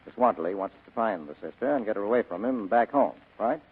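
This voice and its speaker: Fast, wisecracking private eye, tinny radio. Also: male voice, on the low side, expressive, husky. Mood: businesslike. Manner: sardonic, evasive, clipped. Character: cool, wisecracking hard-boiled private detective. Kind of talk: 1940s radio drama acting. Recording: tinny band-limited vintage radio broadcast.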